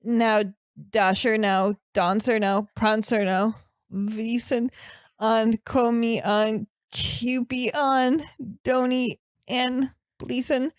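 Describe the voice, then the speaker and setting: Podcast comedy role: flustered, dim young male student, played by a woman, painfully slow halting delivery, awkward strained voice, very dry sound. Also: fairly high pitch, little pitch movement, dry recording. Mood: halting, earnest. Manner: halting read-aloud, stumbling over and mangling words. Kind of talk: improvised comedy podcast character.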